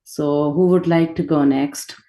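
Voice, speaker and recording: A woman's voice: sits low, steady tone, unhurried, warm tone. A woman hosting an online meeting over a video call, directing who speaks next. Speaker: moderator of an online orientation meeting.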